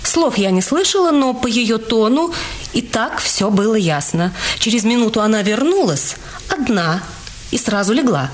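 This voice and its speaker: A woman playing a composed, slightly guarded female witness in a radio drama, speaking in a measured, reflective way with some hesitation. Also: fairly high pitch, very animated. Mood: composed, hesitant. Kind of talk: audio drama narration and dialogue.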